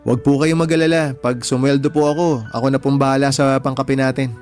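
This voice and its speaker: A man's voice: medium pitch, fairly even intonation, a little fast, over music, close to an everyday voice. A man acting in a radio drama as a meek young son-in-law, respectful, earnest and pleading. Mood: patient, reassuring. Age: young adult.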